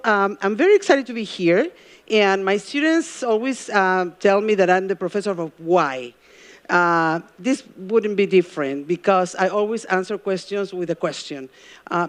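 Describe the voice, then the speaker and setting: A middle-aged woman from Peru with a Peruvian Spanish accent, giving a live talk as a journalism professor. She engages the crowd with questions and pauses often with ums and uhs. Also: mid-range voice. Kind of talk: live stage talk to an audience. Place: event stage before a live audience.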